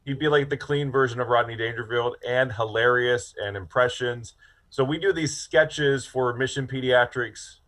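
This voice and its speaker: A man's voice: mid-range voice, moderate pace, a bit bright. A man in his forties from Ohio, a guest in an interview, chatty and self-amused, rambling through his answers. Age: 40s. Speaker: film director guest. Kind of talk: casual interview chat.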